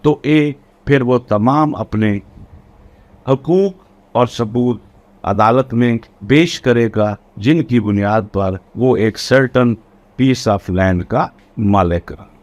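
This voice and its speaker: A man's voice: medium pitch, slow, darkish tone. A man with a Pakistani accent giving an explanatory law lecture in a didactic way, repeating and rephrasing key points. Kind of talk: explanatory law lecture mixing Urdu and English.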